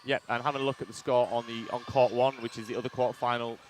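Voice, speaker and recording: A loud-voiced man doing fast colour commentary into a headset from a commentary box. He chimes in with quick agreement and reads out viewer comments, with a faint hum of hall noise behind him. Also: mid-range voice, little pitch movement. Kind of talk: live sports commentary. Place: commentary box beside an indoor court.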